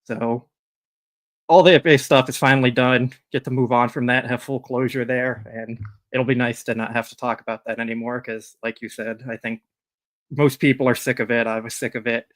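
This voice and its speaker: Flat-voiced man giving matter-of-fact updates. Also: mid-range voice, moderate pace. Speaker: co-host, managing editor. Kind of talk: casual live-stream sports chat.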